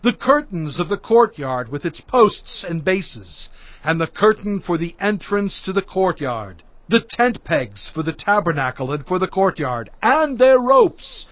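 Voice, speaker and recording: Moses, a solemn leader proclaiming to his people in an audio drama. The voice sits high and swings widely in pitch. It is recorded dry in a dull studio sound. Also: dry recording. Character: Moses, aged male leader addressing people. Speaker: voice actor. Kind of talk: dramatized scripture reading.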